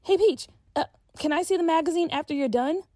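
A woman's voice: very high voice, fairly quick, warm tone, dry recording, studio quality, pitched above the performer's own voice. A woman voicing a frank schoolgirl who speaks her mind in a direct, sassy way. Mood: polite. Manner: direct, sassy, plain-spoken.

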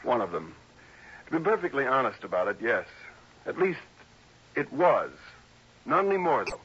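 A bright, husky, talkative young man. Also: medium pitch, very animated, normal speed, heavily acted. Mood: candid. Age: 20s. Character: hearty, talkative, candid nephew in his twenties. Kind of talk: old-time radio drama acting. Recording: dull vintage radio broadcast.

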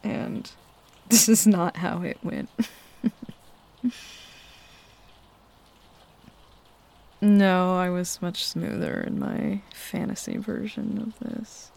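A woman playing a shy, smitten young tomboy roommate in an intimate ASMR roleplay, halting and flustered as she talks. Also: medium pitch, steady tone, gravelly, darkish tone. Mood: flustered, teasing. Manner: halting, hesitant, flustered with nervous chuckles. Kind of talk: ASMR roleplay, intimate one-sided dialogue. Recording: faint rain noise behind close voice. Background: rain falling.

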